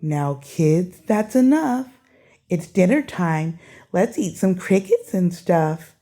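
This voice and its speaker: Woman voicing a slow, mellow mother snake. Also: lowish voice, big pitch swings, voice pushed down, full character voice. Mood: motherly, firm. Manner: slow, soft-hearted, pleading. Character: gentle, tearful mother snake, polite and pleading.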